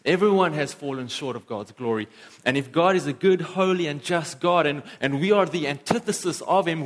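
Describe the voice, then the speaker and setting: Man, pastor, sermon, earnest, slightly high voice, rising and falling for emphasis. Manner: earnest, rises and falls in pitch for emphasis. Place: church.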